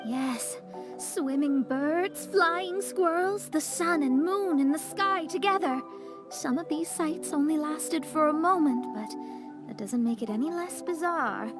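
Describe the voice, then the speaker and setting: A woman voicing a theatrical teenage dark princess in a game, speaking in a pompous, archaic, dramatic way. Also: high voice, a little slow, over music. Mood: grave, dramatic. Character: theatrical teenage girl, self-styled grandiose dark princess. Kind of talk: animated game voice acting.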